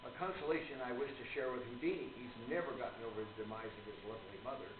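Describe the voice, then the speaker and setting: A man playing an earnest, genteel older gentleman author in a radio play, speaking in a formal, lecturing manner. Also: medium pitch, rapid-fire, crowd noise, natural voice. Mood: devout, earnest. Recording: lo-fi, echoey room, noisy.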